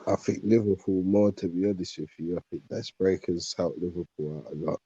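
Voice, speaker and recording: A man's voice: low-pitched, steady tone, fairly quick, warm tone, breathy edge, no echo. A man chatting casually about football as a podcast guest, in slangy speech on a muffled recording. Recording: dull, muffled lo-fi sound.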